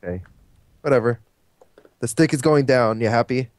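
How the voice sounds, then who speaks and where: A man's voice: medium pitch, moderate pace, dry recording. A young man as a podcast guest in casual chat, laid-back and grumbling mildly.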